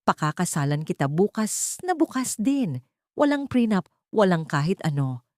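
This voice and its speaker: Female narrator as broken male executive, imploring, desperate, swooping pitch, dry close sound, romance drama storytelling. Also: big pitch swings, normal speed, a little mellow, no room sound. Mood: desperate, begging. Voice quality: cracked, trembling. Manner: pleading, imploring. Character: desperate, broken, pleading disgraced male executive begging his ex.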